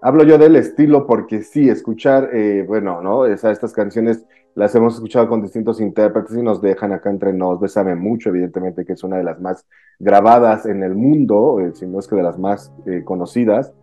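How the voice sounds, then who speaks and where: A male host in his forties asks long, effusive questions over a video call, stringing clauses together with fillers. His voice is very dark and mellow, fairly low. Faint music sits behind him. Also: moderate pace, highs cut off. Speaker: interviewer, host. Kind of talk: casual interview questions.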